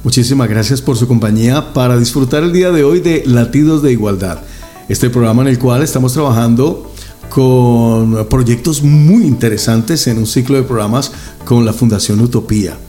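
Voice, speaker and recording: A man hosts a radio interview in a warm, mellow voice. He talks with enthusiasm, throws in exclamations and pauses on hesitant fillers, while soft music plays faintly underneath. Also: medium pitch. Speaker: radio host.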